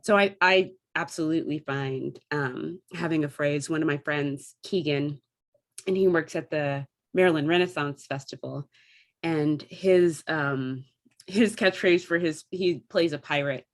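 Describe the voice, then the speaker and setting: Female interview guest, low register, articulate and conversational, explanatory delivery. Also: a little slow. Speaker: theatre artistic director and actor, interview guest.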